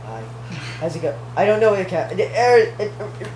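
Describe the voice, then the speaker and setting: A man's voice: high voice, sing-song, brisk, darkish tone, echoey room, slight background noise, rough recording. A teenage boy co-hosting a casual vlog at home, teasing and wisecracking. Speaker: vlog co-host. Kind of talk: casual chat.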